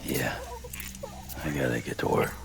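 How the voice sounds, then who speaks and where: An actor playing a gruff, weary male courier in a video game cutscene, speaking in a blunt, terse way with a gravelly voice. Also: very deep voice, steady tone, measured pace, exaggerated voice. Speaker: porter character.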